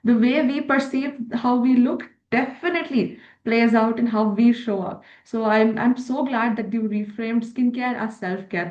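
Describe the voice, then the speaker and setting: A woman's voice: mid-range voice, darkish tone. A woman hosting a podcast interview, affirming and enthusiastic as she responds to her guest. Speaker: podcast host. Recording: echoey room, slightly dull sound.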